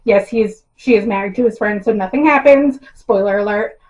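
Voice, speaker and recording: Hoarse rambling woman, lo-fi sound. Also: medium pitch, a little fast, darkish tone. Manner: rambling and self-deprecating, with frequent ums and laughs. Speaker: YouTuber casting book adaptations. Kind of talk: casual chat to camera. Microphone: built-in camera mic, no external mic.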